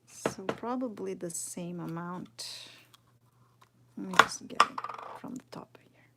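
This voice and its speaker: A woman's voice: lowish voice, gravelly, heavy background noise. A woman in her thirties from Brazil with a Brazilian Portuguese accent, casually teaching sculpting live and thinking aloud, often dropping to a murmur as she works. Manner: slow, thinking aloud, drops to murmurs while working. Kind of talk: casual live tutorial, answering chat.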